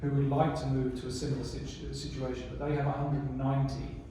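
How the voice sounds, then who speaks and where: Man presenting to a conference audience, mellow tone, chatty and unscripted, heard from across a roomy hall. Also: mid-range voice, fast talker. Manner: relaxed, conversational, many restarts and fillers. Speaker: library strategy presenter. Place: conference room. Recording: echoey room, mic far off, faint noise.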